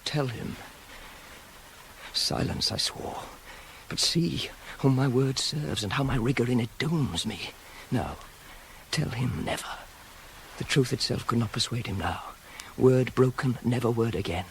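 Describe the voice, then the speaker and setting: An actor playing a proud, self-controlled young prince wronged by his father, in a formal, measured, declamatory classical tragedy style for radio. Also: mid-range voice, slight background noise, natural voice, low-quality recording. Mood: anguished.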